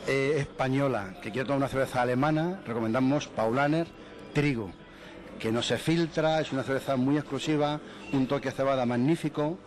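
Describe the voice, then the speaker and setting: A man's voice: mid-range voice, a little fast. A man interviewed as a business owner, answering with enthusiastic, salesy praise. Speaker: bar owner guest.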